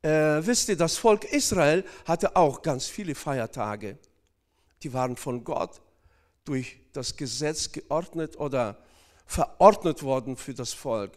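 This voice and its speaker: A man's voice: on the high side, animated, slow pace. A man from Northern Kazakhstan preaching a sermon, speaking hesitantly with many fillers and addressing the congregation directly.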